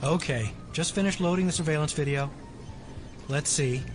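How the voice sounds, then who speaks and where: A man's voice: on the high side, normal speed, over music, close to an everyday voice. A man playing an earnest, precise tech geek in an audio drama. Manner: precise, technical.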